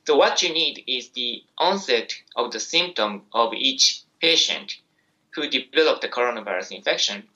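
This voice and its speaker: Japanese-accented man with a bright, muffled voice. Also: mid-range voice, animated, moderate pace. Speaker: infectious disease doctor. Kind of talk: press conference answers.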